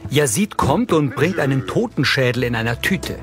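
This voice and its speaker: A man's voice: mid-range voice, expressive, normal speed. A man reading scripted scene descriptions in a neutral, factual manner. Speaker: audio description narrator. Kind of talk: scripted narration. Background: music.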